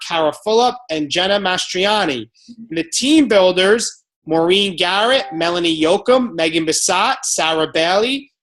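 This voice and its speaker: A man's voice: high voice, a bit bright. Upbeat man hosting a team video call. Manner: upbeat, motivational.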